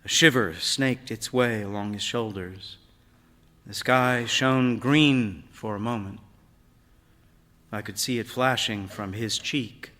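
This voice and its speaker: A man reads a literary short story aloud on stage in a measured, expressive, dramatic delivery. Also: fairly low pitch. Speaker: reader of short fiction. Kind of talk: literary short story reading before an audience. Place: live stage in a large hall. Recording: long hall reverb of a stage.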